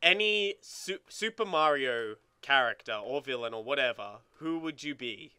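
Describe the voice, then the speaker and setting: A man's voice: mid-range voice, lively intonation, normal speed, bright, forceful tone, clear, resonant tone, no echo. A young man giving casual gaming commentary, rambling and swearing as he banters. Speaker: let's play co-host.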